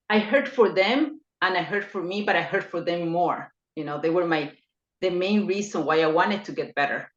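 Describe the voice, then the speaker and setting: Low-voiced middle-aged woman from Ecuador. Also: fairly bright tone. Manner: hesitant, with many fillers and restarts. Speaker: podcast guest. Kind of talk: personal interview. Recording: echoey, dull lo-fi remote line.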